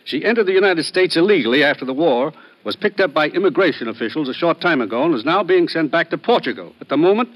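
A man playing an authoritative commissioner, crisp and official, in old radio drama style. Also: a little high, expressive, fast, highs cut off. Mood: businesslike, urgent. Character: brisk, authoritative male commissioner briefing an agent. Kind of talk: 1950s radio drama acting.

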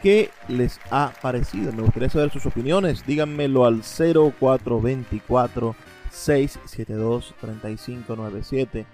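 A man's voice: mid-range voice, unhurried, darkish tone. A man with a Venezuelan accent hosting a literary radio show, commenting in an effusive, admiring way. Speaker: radio host. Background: music.

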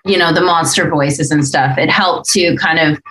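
A woman with a fairly low voice chats casually as a podcast host and voice actor. The recording is roomy, with noticeable echo around her words. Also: moderate pace, a bit bright.

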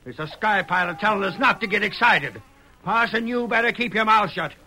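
A man's voice: sits high, fairly quick, pushed and bright, full character voice. An actor playing an anxious, quarrelsome frontier townsman with edgy rustic speech, in old radio western style. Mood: scornful. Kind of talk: 1940s radio western acting.

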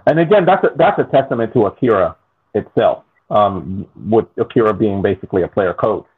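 An American man talks through a soccer game on a podcast, his voice squeezed thin as if over a phone line. He stumbles and restarts sentences often while keeping a relaxed, chatty tone. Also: on the low side, slightly rough. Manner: halting, restarts often, laughs easily. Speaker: podcast co-host. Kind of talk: casual sports chat.

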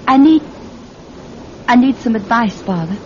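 Earnest, naive young radio heroine, highish. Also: female voice, lively intonation, unhurried. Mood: anxious, pleading. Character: earnest, naive, lovestruck old-fashioned young woman. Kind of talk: old-time radio drama acting. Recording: muffled, noisy old radio recording with rumble.

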